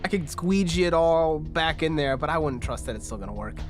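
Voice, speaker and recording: A man's voice: on the high side, animated, brisk, faint music behind, close to an everyday voice. A man voicing a casual, unbothered back-alley surgeon in a tabletop game. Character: nonchalant, salvage-minded back-alley surgeon.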